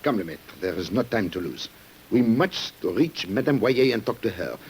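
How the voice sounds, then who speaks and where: A man's voice: lowish voice, animated, normal speed, a little mellow, natural voice. A man playing a determined, brooding police commissioner, declamatory and emphatic, in a mid-century radio drama. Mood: resolute. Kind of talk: 1950s radio drama acting. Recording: noisy old radio recording.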